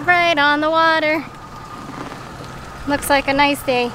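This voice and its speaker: A woman's voice: high voice, steady tone, takes it slowly. A woman vlogging with her family on a fishing trip, talking casually and upbeat to a handheld camera. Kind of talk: casual vlog narration. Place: outdoors and in a hotel room, on a trip. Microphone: handheld camera at arm's length. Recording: noisy outdoor camera audio.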